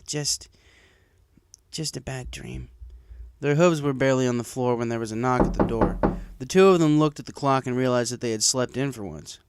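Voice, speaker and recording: A man's voice: medium pitch, quick pace, few pauses, very noisy. A man reading a story aloud as scripted narration. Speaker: story reader. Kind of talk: scripted narration of fan fiction.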